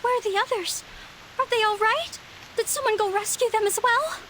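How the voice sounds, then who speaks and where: A woman's voice: extremely high pitch, normal speed, bright, forceful tone, slight background noise, poor audio. A woman voicing a frightened but plucky young girl in a video game, earnest and dramatic. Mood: relieved, worried.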